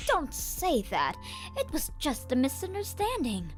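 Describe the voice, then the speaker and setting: A woman acts out a shameless runaway mother in a comic manga dub. The voice is raised high and wheedles with false sweetness, over music. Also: wildly swooping pitch, a little slow, exaggerated voice. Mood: pleading.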